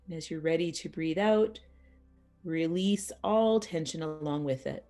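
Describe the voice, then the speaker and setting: A woman teaching yoga nidra as a guided meditation, speaking soothingly and unhurriedly in short phrases with pauses. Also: low voice, dark tone, faint music behind.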